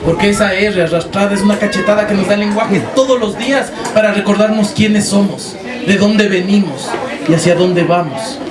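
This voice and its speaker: Man, Ecuadorian highland accent, high voice, impassioned storytelling talk, acted-out quotes, roomy hall echo. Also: crowd noise. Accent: Ecuadorian highland Spanish. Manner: dramatic pauses and acted-out quotes. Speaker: speaker addressing an audience. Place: large hall with an audience. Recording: echoey hall, distant mic, loud audience.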